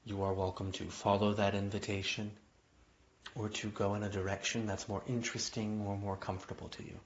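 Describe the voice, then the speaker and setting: Male meditation guide, lower-pitched voice, gentle invitational delivery with lingering pauses, slightly dull lo-fi sound. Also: level tone, a little hiss or hum. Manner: gentle, unhurried phrasing with long pauses, invitational. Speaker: yoga nidra guide. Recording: dull, muffled lo-fi audio.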